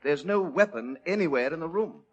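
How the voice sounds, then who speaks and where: An actor playing a loyal, grave English household servant with a husky voice, formal manner and old-time radio delivery. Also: mid-range voice, expressive, brisk, highs cut off, faint music behind. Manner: formal, deferential.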